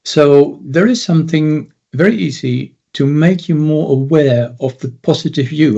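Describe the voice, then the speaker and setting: Dutch-accented man, mellow and husky. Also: medium pitch, dull audio. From Netherlands. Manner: earnest, explains step by step. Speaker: confidence and self-esteem coach. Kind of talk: interview guest.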